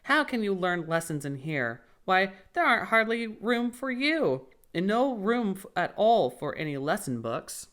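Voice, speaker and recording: A man's voice: high voice, sing-song, a little slow, hardly any pauses, fairly bright tone, pitched above the performer's own voice, full character voice. A man voicing Alice, a curious little storybook girl who talks to herself in a chatty, indignant, musing way. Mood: self-scolding. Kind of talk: audiobook storybook character.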